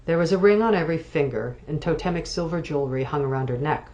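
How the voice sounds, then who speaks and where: A woman author reading literary fiction aloud, measured and expressive. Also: low-pitched, muffled recording. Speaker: author reading from own novel.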